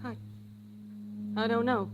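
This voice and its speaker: A woman's voice: on the high side, fairly even intonation, slow, dull audio. An actress playing an anxious, hesitant American housewife and mother in an old-time radio drama. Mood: agitated pleading. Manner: hesitant, stammering restarts. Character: anxious suburban housewife and mother hiding her fear. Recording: noisy vintage radio recording.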